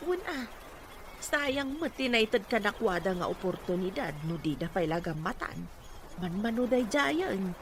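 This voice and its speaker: A woman's voice: mid-range voice, animated, unhurried, slight background noise. A woman acting a proud, inquisitive village mother in an Ilocano drama, with an Ilocano accent. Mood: urging. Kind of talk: amateur Ilocano radio-style drama acting.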